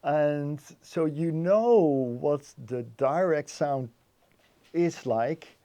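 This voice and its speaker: A man giving a technical explanation as an interview guest, haltingly, often repeating words as he thinks. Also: fairly high pitch, warm tone. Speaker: interview guest, loudspeaker designer.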